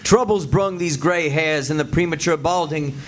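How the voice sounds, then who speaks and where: A man's voice: on the high side, normal speed, close to an everyday voice. A man playing an older sly con man posing as an exiled French king, grandiose, folksy and mock-mournful in live radio theatre. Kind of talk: live old-time radio theatre acting. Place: live stage before an audience. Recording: live stage, faint background noise.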